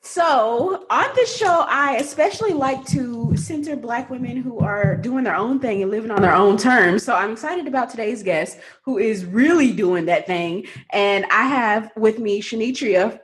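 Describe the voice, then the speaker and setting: A woman's voice: a little high, animated, normal speed, hardly any pauses. Woman hosting an interview podcast. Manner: friendly, reflective, uses fillers. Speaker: podcast host.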